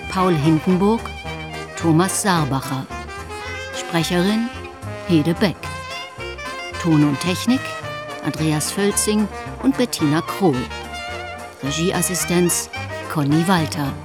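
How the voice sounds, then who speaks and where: A woman announcer reading scripted radio play credits, with brass music behind her. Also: fairly low pitch.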